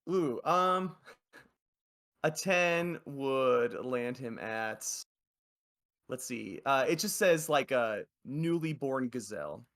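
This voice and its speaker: A man's voice: mid-range voice, expressive, extremely slow, long pauses, fairly bright tone. A man narrating a tabletop game as game master in a casual, hesitant, conversational way.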